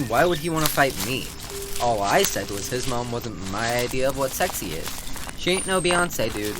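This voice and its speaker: A man's voice: medium pitch, heavy background noise, close to an everyday voice. An actor playing a sarcastic young guy, ranting with comic indignation in a radio drama. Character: mouthy, sarcastic, incredulous wisecracking young guy.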